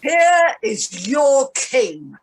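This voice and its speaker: A woman reading the part of Pontius Pilate, a troubled Roman governor, slow and emphatic in a dramatized Bible reading. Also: high voice, sing-song, slightly rough, full character voice. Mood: challenging.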